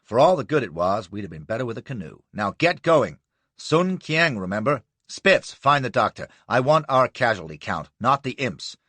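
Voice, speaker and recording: A man's voice: mid-range voice, sing-song, normal speed, bright, forceful tone, dry recording. A man voicing a wounded young American commander who speaks in a snapping, staccato way, with an American accent. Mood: brusque.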